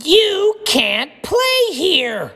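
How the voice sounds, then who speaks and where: A man's voice: very high-pitched, huge pitch swings, drawn-out words, vocal fry, sharp tone, voice pushed up, full character voice. A man reading a storybook aloud, voicing a boastful, bossy young boy bully with a taunting, commanding manner. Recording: echoey room with long reverb.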